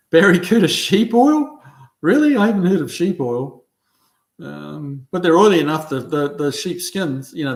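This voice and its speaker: A middle-aged man with a New Zealand accent, hosting a casual livestream, reading out viewer comments and answering them in a relaxed, halting way with lots of ums and restarts. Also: a little high. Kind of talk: casual chat.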